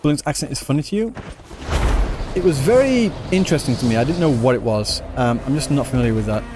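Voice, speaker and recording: A man's voice: mid-range voice, expressive, fast talker, darkish tone, a little hiss or hum. A man giving casual gameplay commentary as a streamer, with offhand muttered asides. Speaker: streamer, game player.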